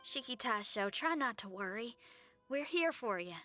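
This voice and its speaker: A down-to-earth young heroine for a video game. Her voice is high and bright, with a casual, folksy, loyal manner. Faint music plays underneath. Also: expressive, normal speed. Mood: reassuring. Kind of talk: English video game voice acting.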